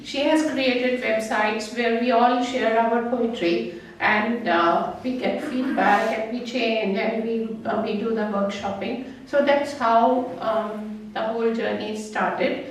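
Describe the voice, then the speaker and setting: A middle-aged Indian woman with a Punjabi-tinged English accent addresses a gathering at a poetry reading. Her voice sits a little high and comes with many pauses and fillers. It is heard from a distance in a very echoey hall. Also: fairly even intonation, slight background noise. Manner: halting, many fillers, mixes in Hindi. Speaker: haiku poet giving a reading. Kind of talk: speech at a public reading. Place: club function hall. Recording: echoey hall, mic far off.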